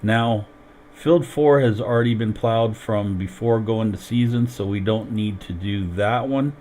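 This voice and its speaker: A man's voice: lowish voice, darkish tone, slight background noise. A man streaming a farming game while sick and losing his voice, explaining each step as he plays into a headset. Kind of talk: casual gameplay commentary.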